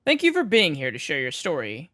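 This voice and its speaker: A woman's voice: sits low, huge pitch swings, a little fast, fairly bright tone, dry recording, studio quality, full character voice. A woman playing the poised host of a late-night paranormal radio show, with a theatrical, intriguing, scripted delivery. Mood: intrigued, mysterious.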